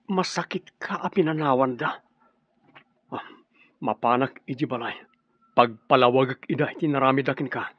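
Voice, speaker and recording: A man's voice: mid-range voice, normal speed, pauses often, dry recording, dull audio, lightly acted. A man with an Ilocano accent playing an indignant young husband who defends his sick wife in a radio drama. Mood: concerned.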